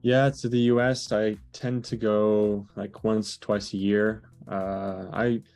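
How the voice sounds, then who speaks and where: Young male interview guest, low-pitched, halting, on a video call. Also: level tone, darkish tone, faint music behind. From born in the US, raised in France. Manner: hesitant, many fillers and restarts. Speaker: guest, digital nomad. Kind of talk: casual interview chat.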